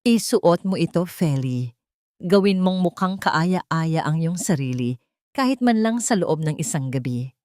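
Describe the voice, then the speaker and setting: A woman's voice: fairly low pitch, expressive, a little slow, a little mellow, no room sound, studio quality. A woman with a Filipino accent voicing an imperious, scheming older matriarch in a story, commanding and ruthless. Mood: cold, disdainful.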